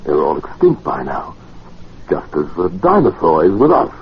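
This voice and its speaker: Commanding low-voiced explorer, old radio drama. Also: male voice, huge pitch swings, normal speed, husky, full character voice. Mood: coolly analytical. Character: bold, headstrong male time traveller, analytical explorer. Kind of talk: 1940s-50s radio acting. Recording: dull, faintly noisy old radio recording.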